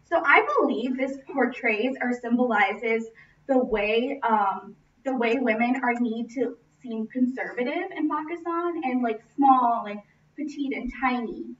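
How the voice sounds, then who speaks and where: A young American woman delivers a prepared personal speech in a fairly high voice. She projects expressively across a classroom, picked up from a distance by a camera microphone, so the sound is roomy and echoing. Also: unhurried. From Los Angeles, United States. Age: young adult. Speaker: student speaker. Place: classroom, indoors. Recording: roomy, distant, muffled, noisy camera audio.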